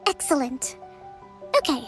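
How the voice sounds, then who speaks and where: A woman playing a young priestess and strategist in a video game, gentle, composed, formal and deliberate. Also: high voice, expressive, soft background music. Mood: calm, encouraging.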